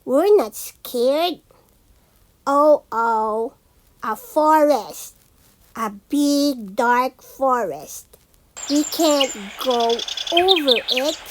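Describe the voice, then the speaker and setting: Woman storyteller, very slow pacing, high voice, theatrical sing-song children's read-aloud, noticeable background noise. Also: animated, rough recording. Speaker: storyteller, teacher. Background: background noise and sound effects.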